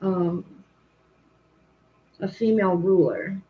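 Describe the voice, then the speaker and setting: A woman's voice: lowish voice, takes it slowly, narrow, tinny audio. A woman tarot reader chatting casually on a livestream, rambling and correcting herself often, with a commanding voice. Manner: rambling, self-correcting, frequent "like" and "um".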